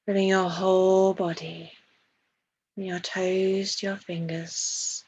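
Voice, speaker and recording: A woman leading a guided meditation in a soft, breathy voice, soothing and hushed, with long pauses between phrases. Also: medium pitch, little pitch movement, muffled recording, slight background noise.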